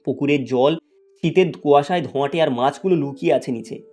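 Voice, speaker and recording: Guy narrating a kids fairy tale, mellow dark-ish voice, bit of music behind. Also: a little high, brisk. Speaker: storyteller, narrator.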